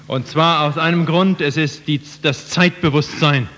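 A man's voice: fairly high pitch, fairly even intonation, measured pace, a bit bright. A man interpreting a sermon phrase by phrase for the listeners. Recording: muffled, reverberant hall.

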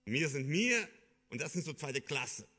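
Bright-voiced German preacher man. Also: a little high, very animated, measured pace. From Kyrgyzstan. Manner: earnest, hesitant with many fillers and restarts. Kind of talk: sermon.

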